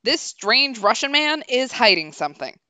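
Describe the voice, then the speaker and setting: A woman's voice: a little high, big pitch swings, normal speed, bright, forceful tone, no room sound. A woman streaming a game casually, reading dialogue aloud and chatting about it in between. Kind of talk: casual let's play with voiced dialogue.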